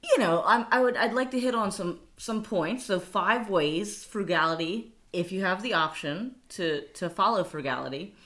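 A woman's voice: medium pitch, lively intonation, normal speed, hardly any pauses, fairly bright tone. A woman co-hosting a casual money podcast, chatty and laughing often. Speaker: podcast co-host.

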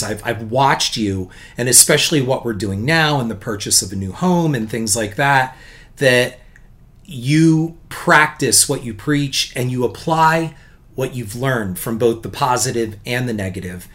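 A man co-hosting a podcast in casual chat, speaking earnestly and slowly, and gently poking fun at himself. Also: mid-range voice. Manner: earnest, self-deprecating, slow and deliberate. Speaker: podcast co-host.

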